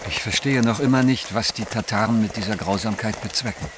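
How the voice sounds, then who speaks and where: An actor playing a sceptical, sharp-tongued male travelling companion in an audio drama. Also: lowish voice, fairly quick, noisy background, lightly acted. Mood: puzzled.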